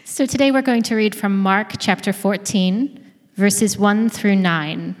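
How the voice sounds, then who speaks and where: Female lay reader, measured scripture reading, echoing sanctuary. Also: medium pitch. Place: church sanctuary. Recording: long church reverb.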